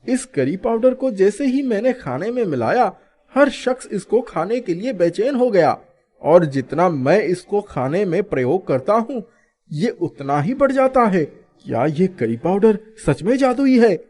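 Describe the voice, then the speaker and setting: Man acting a very animated, earnest restaurant owner. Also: high voice, measured pace, heavily acted. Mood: excited, amazed. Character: kind-hearted, amazed male restaurant owner. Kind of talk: animated storybook dialogue in Hindi.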